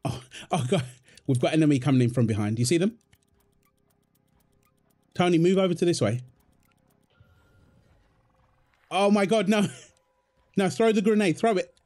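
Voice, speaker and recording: A man's voice: high voice, normal speed, pauses often, a little mellow, faint music behind. A British man playing an affectionate, playful husband in an intimate, naturalistic audio roleplay, talking casually and teasingly in short repeated phrases. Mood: excited, bantering. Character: affectionate, playful, apologetic husband, gamer with his mates.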